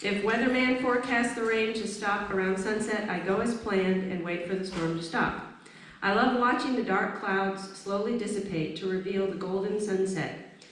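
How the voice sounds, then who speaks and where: A woman's voice: medium pitch, steady tone, moderate pace. A woman presenting at a live event, introducing pieces and reading aloud. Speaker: event presenter, stand-in reader. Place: indoor event space, recorded from a distance. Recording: very echoey room, distant mic, faint noise.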